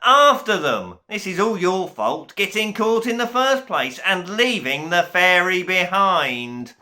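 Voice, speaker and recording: A man voicing a bellowing villain duke, commanding yells, lively pitch. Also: slow pace, exaggerated voice. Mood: furious. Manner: yelling, commanding. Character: bellowing tyrannical male duke of decay.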